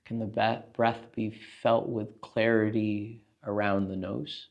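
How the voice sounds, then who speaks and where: A man with a low, gravelly voice leads a guided meditation. His delivery is calm and gentle, staying very even in tone. He takes his time, leaving long pauses between instructions. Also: dull audio. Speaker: meditation teacher.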